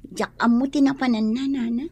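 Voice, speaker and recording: A woman's voice: a little high, steady tone, moderate pace, mellow, breathy edge. A woman playing a wronged young mother, fiery and tearful, in an Ilocano radio drama. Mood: subdued, weary.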